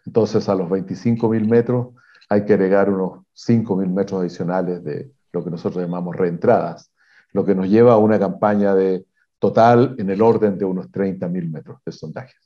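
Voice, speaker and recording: A man, a mining exploration executive, giving measured technical answers in an interview, pausing often with fillers and restarts. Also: low-pitched, fairly even intonation, highs cut off. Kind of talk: interview answers on a video call.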